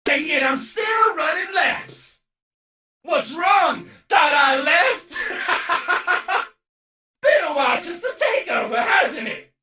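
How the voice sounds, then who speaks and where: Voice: very high-pitched, sing-song, slow, reverberant, shouting, recorded from a distance, crowd noise, background noise, heavily acted. A woman voicing a cocky, hyperactive showman villain in a video game, brash and theatrical, taunting opponents. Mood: taunting, mocking. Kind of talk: exaggerated video game character voicelines.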